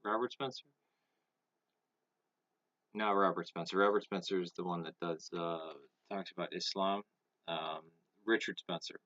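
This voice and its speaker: A deep-voiced man smokes while he talks to camera in a halting, stop-start way. His delivery stays flat and level. The recording is dry and muffled, with a lo-fi home-video sound. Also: no room sound. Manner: halting, thinking aloud with many pauses; smoking while talking. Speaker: vlogger. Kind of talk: casual opinion monologue to camera.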